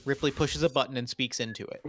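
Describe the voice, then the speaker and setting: A man's voice: medium pitch, fast talker, background noise. A man narrating screenplay stage directions in dramatic, clipped phrases for a table read.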